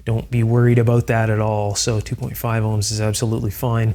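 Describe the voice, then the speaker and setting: A man hosting an electronics restoration video, explaining each step calmly and methodically, with frequent fillers. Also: lowish voice, a little fast. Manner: calm, methodical, with fillers like "uh" and "you know". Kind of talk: hands-on technical explanation.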